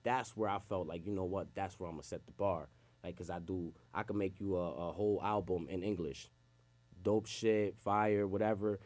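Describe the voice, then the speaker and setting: A Puerto Rico-born man, a rapper, chatting loosely in street slang as an interview guest, his sentences trailing off low. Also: steady tone, normal speed, darkish tone. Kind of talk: casual interview chat.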